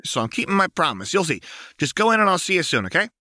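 A brash young woman fighter, cocky and teasing, voiced by a man in a noticeably higher register. Her lines swing up and down, and the recording is dry and close. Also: very animated, fast talker, pushed and bright, no echo, full character voice. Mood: evasive.

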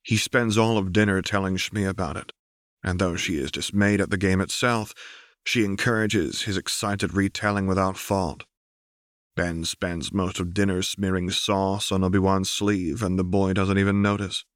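A man's voice: sits low, flat delivery, moderate pace, a bit bright, no echo, clean studio sound. A man reading a story aloud as scripted narration, like an audiobook reader.

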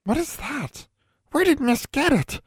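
A man voicing a wizened old female house elf servant, anxious and stern, high-pitched and speaking of herself in the third person. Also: sing-song, measured pace, no echo, clean studio sound, full character voice. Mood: panicked.

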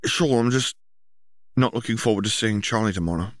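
A man's voice: lowish voice, a little fast, no echo, clean studio sound, voice pushed up, close to an everyday voice. A man voicing a blunt, grudging teenage boy in an audiobook story, talking casually. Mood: reluctant.

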